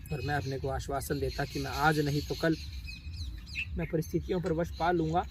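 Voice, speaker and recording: Male actor as tormented, self-doubting poet in a stage drama; high voice, solemn and emotional, pausing heavily; light birdsong noise. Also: natural voice. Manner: solemn, halting, emotional delivery with dramatic pauses. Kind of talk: theatrical stage monologue in formal literary Hindi.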